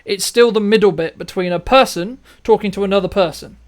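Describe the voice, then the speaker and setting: A high-voiced man hosts a conversational show. He argues his view emphatically, in casual speech, often repeating a phrase to press the point. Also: fairly quick. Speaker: podcast host and filmmaker. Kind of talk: opinionated interview chat.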